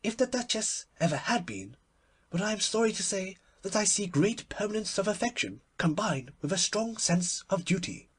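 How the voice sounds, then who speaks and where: A performer voicing a solemn, obsequious little palm-reading man, speaking in a deferential, precise, measured way in a storybook. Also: mid-range voice, big pitch swings, breathy edge, voice pushed up. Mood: solemn, courteous.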